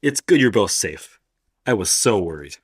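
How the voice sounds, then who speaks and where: A woman voicing an older male professor in a radio play, speaking in a measured, steady, reassuring way. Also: a bass voice, husky, no echo, clean studio sound, pitched below the performer's own voice, full character voice. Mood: concerned. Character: older male professor, calm protective ally.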